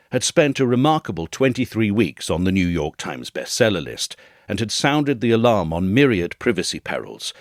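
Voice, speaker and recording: A man with a low voice narrates a serious scripted essay. His delivery is measured and formal, yet the melody moves widely with dramatic phrasing. The sound is very dry, with no room around it. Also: no room sound.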